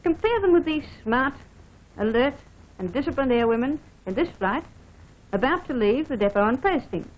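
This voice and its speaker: A woman's voice: high voice, expressive, moderate pace. A woman officer from Australia reads scripted training film narration, formally instructing the listener directly. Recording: dull band-limited vintage film soundtrack.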